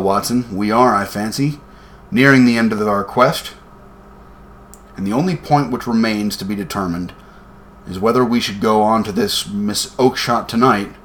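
A man voicing a shrewd gentleman detective in a storybook, bland, measured and confident. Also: lowish voice, a little hiss or hum, lightly acted.

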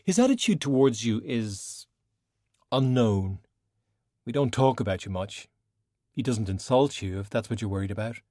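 A man's voice: medium pitch, lively intonation, normal speed, long pauses, darkish tone, dry recording, studio quality, lightly acted. A man voicing a casual, blunt, wry young Irish woman in an audiobook. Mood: frank, questioning.

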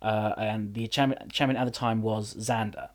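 A man's voice: on the low side, moderate pace. A man, a wrestler, telling stories in a casual podcast chat with lots of restarts. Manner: animated storyteller, many restarts. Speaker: wrestler and general manager.

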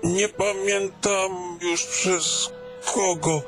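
A fussy old mapmaker from a fantasy radio play, acted by a man, chatters on in a fairly high voice. He speaks very slowly, hesitating, stammering and muttering to himself, with music clearly playing underneath. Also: fairly even intonation. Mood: flustered, proud. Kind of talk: fantasy audio drama acting.

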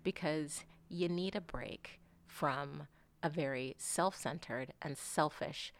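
A woman in her forties talking candidly as an interview guest, frank and animated, swearing casually and sometimes dropping into soft asides. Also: deep voice, slow pace, a little hiss or hum. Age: 40s. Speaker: guest artist.

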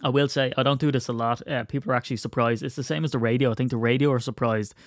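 A man's voice: mid-range voice, fairly even intonation, racing, no room sound, clean studio sound. A man hosting his own podcast, stuffy from a cold, rambling and poking fun at himself. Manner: rambling, self-mocking, chatty. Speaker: podcast host. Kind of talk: casual solo podcast chat.

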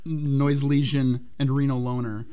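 A man's voice: mid-range voice, level tone, unhurried, dark tone, clear, resonant tone. A man in his forties, a band's drummer, chatting and joking in a radio studio interview. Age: 40s. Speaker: band drummer.

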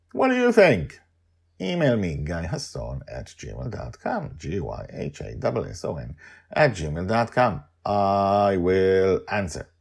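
Low raspy guy doing podcast host talk, big swings up and down, conversational. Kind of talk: unedited conversational podcast narration.

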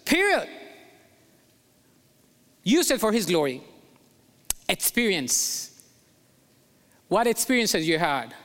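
A man's voice: very high-pitched, a bit bright, long reverb tail. A pastor from Colombia preaching a sermon in English with a Colombian Spanish accent, emphatic and repeating his key phrases.

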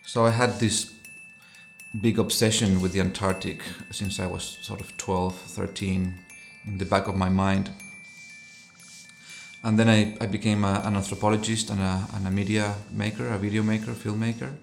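A middle-aged man from Chile speaks in a very deep, gravelly voice that stays flat and level. He answers interview questions thoughtfully, pausing and restarting phrases, with faint music behind him. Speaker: associate professor, anthropologist.

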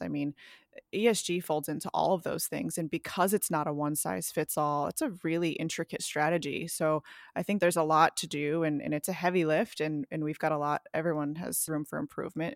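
Female co-host, low gravelly voice, conversational, dry mic. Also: no echo. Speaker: podcast co-host. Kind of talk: casual podcast chat.

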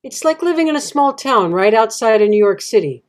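A woman's voice: a little high, animated, brisk, natural voice. A woman reading as a local resident, giving facts in a matter-of-fact, informative way. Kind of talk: readers theatre monologue.

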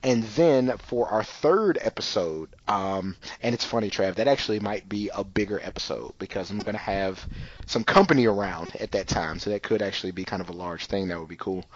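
A man's voice: lowish voice, fairly quick. Chatty podcast host man, dull mic. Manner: loose and chatty, full of "you know" and "like". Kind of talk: casual podcast chat.

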